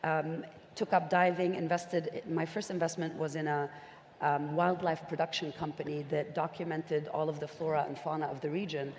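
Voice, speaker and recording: Low-voiced middle-aged woman in an echoing hall. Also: little pitch movement, moderate pace, a little mellow. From Syria and Saudi Arabia. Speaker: investor, panel guest. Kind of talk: reflective storytelling on a panel. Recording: reverberant hall with background noise.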